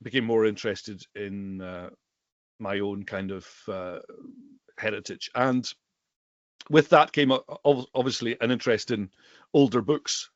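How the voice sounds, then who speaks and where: A middle-aged man from the Western Isles of Scotland, a native Gaelic speaker with a Highland accent, chatting conversationally as an interview guest. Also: fairly even intonation, measured pace, no room sound, dull audio. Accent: Scottish Highland. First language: Scottish Gaelic. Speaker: guest, bookseller and Gaelic teacher.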